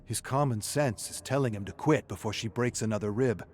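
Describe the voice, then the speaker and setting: A man's voice: medium pitch, a little fast, darkish tone, slight background noise. A man reading scripted narration in a theatrical, tense storytelling manner.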